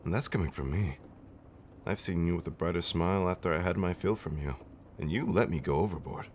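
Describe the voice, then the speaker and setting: A man playing a centuries-old vampire husband in an intimate comfort roleplay, tender and theatrically fussy, with genteel, old-fashioned wording and dramatic pauses. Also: low voice, a little mellow, a little hiss or hum, lightly acted. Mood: tender concern. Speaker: audio roleplay performer.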